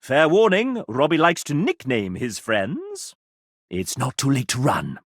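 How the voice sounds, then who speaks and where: A man voicing a sassy, pompous, playful gentleman who hosts and narrates a video game in a showy, theatrical way. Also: medium pitch, a little slow, no room sound, studio quality, heavily acted. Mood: dry, sardonic. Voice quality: animated theatrical delivery. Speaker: game show style host and narrator.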